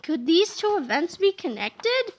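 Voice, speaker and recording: A woman reading a children's book aloud in an animated, playful narrating voice. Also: very high-pitched, unhurried. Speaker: storybook reader.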